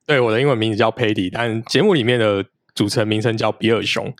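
Low-voiced steady male podcast guest. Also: quick pace, dry recording, studio quality. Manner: steady, explanatory. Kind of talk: casual interview talk.

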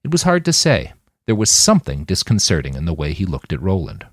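A man reading a scripted story aloud as an expressive audiobook-style narrator. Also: sits low, brisk, no room sound, clean studio sound. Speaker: author reading own fiction podcast.

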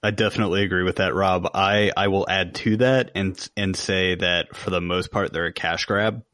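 A man's voice: sits low, steady tone, moderate pace, fairly bright tone, no room sound, clean studio sound. A man co-hosting a podcast and giving his opinions in casual conversation. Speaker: podcast co-host. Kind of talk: casual chat, opinions on games.

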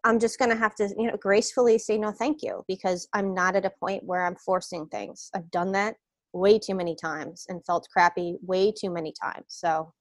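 A woman's voice: medium pitch, moderate pace, no echo. New woman, coach guest, laid-back and rambling, dry close recording. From New York. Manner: relaxed, meandering, hesitant with fillers. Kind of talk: casual interview.

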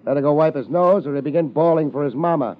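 An American actor playing a laid-back, wisecracking hard-boiled private investigator in a 1950s radio detective drama. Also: male voice, fairly high pitch, quick pace, heavy background noise, lightly acted. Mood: dry, needling. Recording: muffled old 1950s radio recording.

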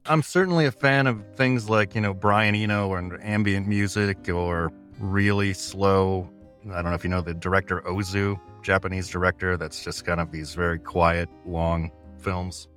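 A man being interviewed, talking casually in a halting, informal, understated way. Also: deep voice, steady tone, fairly bright tone, faint music behind. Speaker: interviewee, audio fiction creator.

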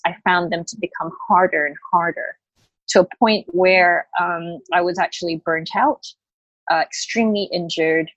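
A woman's voice: lowish voice, no echo, poor audio. A woman in her late thirties who grew up in Australia, a health coach talking in a podcast interview, hesitant with many fillers and restarts. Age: late 30s.

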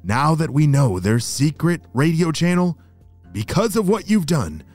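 Man playing a proud, animated spy chief. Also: a little high, measured pace, soft background music. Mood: reassuring. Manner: praising, reassuring. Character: proud, reassuring spy headquarters agent.

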